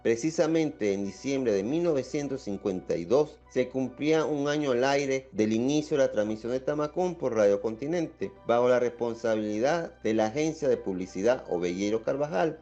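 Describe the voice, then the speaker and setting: Mellow male documentary narrator, slightly muffled. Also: medium pitch, normal speed, few pauses, soft background music. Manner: steady, even-paced narrating tone.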